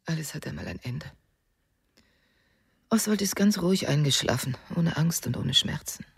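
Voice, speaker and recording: Composed, husky middle-aged widow. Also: female voice, low voice, lively intonation, moderate pace, full character voice. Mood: subdued, composed. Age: 40s. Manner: composed, sober, restrained. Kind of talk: radio drama acting.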